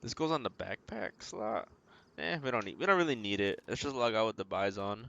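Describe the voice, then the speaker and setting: Low, creaky-voiced man streaming. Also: expressive, a little fast, a bit bright, lo-fi sound. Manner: talks to himself in short remarks. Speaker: game streamer. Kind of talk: casual gameplay commentary. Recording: noisy mic with clicks and keyboard typing. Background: keyboard typing and clicking.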